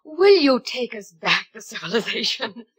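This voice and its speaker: A woman's voice: fairly high pitch, sing-song, normal speed, slightly rough. An actress playing a distraught middle-aged outcast mother, tearful, quavering and pleading, in 1950s radio drama style. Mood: pleading, indignant. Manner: pleading, melodramatic.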